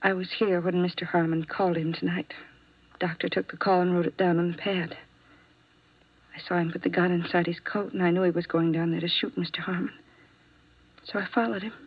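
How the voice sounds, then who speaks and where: An American actress playing a lovelorn, resigned young nurse in a 1950s radio drama, speaking in a hushed, halting, wistful way. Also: female voice, fairly low pitch, breathy edge, no echo. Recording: muffled vintage 1950s radio recording.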